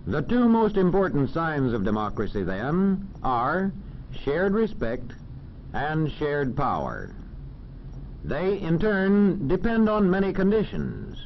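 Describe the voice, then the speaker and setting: An American man narrating a 1940s educational film in a formal, measured, didactic manner. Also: fairly high pitch, huge pitch swings. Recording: dull, faintly noisy old film soundtrack.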